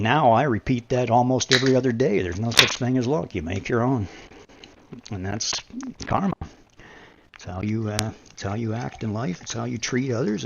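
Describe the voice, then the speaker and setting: A middle-aged man with a deep voice chatting on his stream, rambling and preachy, hammering his points home. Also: gravelly, noisy background. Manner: preachy, rambling, emphatic when making a point. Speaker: livestreamer. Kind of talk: casual chat while gaming on stream. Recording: dull lo-fi stream audio.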